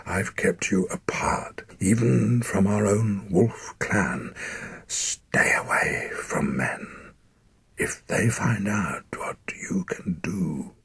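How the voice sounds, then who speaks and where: A man doing a dying father in hoarse whispers. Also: deep voice, animated, drawn-out words, pauses often, fairly bright tone, heavily acted. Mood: grave. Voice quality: breathy whisper. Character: dying father, weak, grave and insistent.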